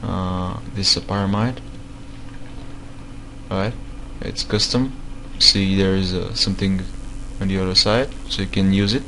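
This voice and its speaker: A man's voice: deep voice, fairly even intonation, unhurried, sharp tone, a little hiss or hum, rough recording. A man who is not a native English speaker, giving a screen-recorded tutorial on building game mods. He talks hesitantly, with many fillers and repeated words. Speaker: game modding tutorial maker.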